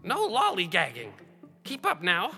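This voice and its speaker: A man's voice: high-pitched, wildly swooping pitch, a little slow, long reverb tail, music in the background, full character voice. A man playing a fussy, anxious talking rabbit in a children's audio drama, grumbling and scolding in quaint old-fashioned phrases. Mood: urgent, bossy.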